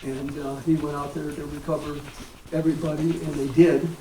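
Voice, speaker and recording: Man in his seventies, American accent, halting rambling reminiscence, slightly high voice, distant mic, noisy background. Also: flat delivery, warm tone. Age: 70s. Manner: halting, rambling delivery with frequent pauses. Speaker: war veteran telling his experiences. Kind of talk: informal reminiscence at a public talk. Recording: echoey, distant mic, clear background noise.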